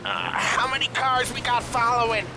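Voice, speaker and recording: An actor playing an excitable, foul-mouthed, wounded American gangster sidekick in a video game, jumpy and coarse. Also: male voice, very high-pitched, normal speed, background noise, full character voice. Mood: alarmed. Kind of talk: cinematic game voice acting.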